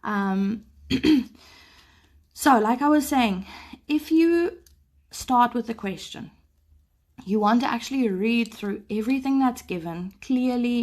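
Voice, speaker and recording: A woman, a maths teacher, is sick, and her voice is a little off. Her voice sits on the high side, and she clears her throat often as she walks students through the work. She explains patiently, as if beside them at a desk. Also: darkish tone. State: sick, voice a bit off. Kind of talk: live-streamed maths lesson. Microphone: phone camera over paper.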